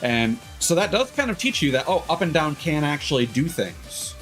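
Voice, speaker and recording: Male game streamer, slightly low-to-middling pitch, casual and opinionated, rambling and swearing freely over prominent background music. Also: big pitch swings, normal speed, fairly bright tone. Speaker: livestreamer.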